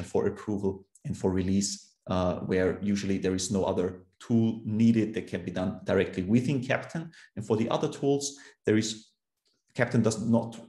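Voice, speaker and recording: A man's voice: lowish voice, dark tone, roomy sound. A man in his thirties from Austria with an Austrian German accent, giving a technical conference talk over a video call, explaining steadily with fillers and restarts. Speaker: conference speaker.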